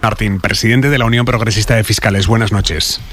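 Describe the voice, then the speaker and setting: A man's voice: lowish voice, steady tone, a little fast. A man with a Spanish accent hosting a live radio news interview, formal and probing in his questions. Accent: Spain. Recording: faint background noise.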